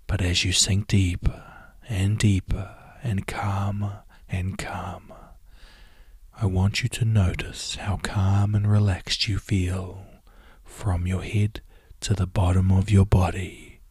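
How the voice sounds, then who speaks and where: A man guiding a hypnosis and relaxation session in a soft, soothing, often half-whispered delivery. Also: low voice, level tone, slow pace, breathy edge, no room sound, clean studio sound. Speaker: hypnotherapy guide. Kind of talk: guided hypnosis and relaxation script.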